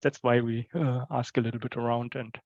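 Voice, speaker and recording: A man's voice: medium pitch, little pitch movement, brisk, a little mellow, dry recording. Man, hedging explainer, casual tech meeting talk, muffled online call, little room sound. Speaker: software working group participant.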